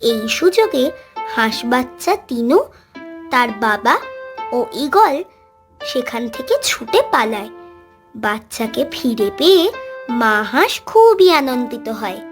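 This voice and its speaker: A storyteller giving scripted narration for a children's cartoon tale. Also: high voice.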